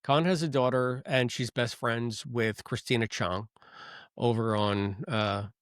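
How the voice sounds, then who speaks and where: A man's voice: medium pitch, fairly even intonation, unhurried, a bit bright, clean tone, dry recording, clean studio sound. A man co-hosting a casual podcast, thinking aloud and wondering about questions. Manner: thoughtful, wondering aloud, reads listener comments. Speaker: podcast co-host.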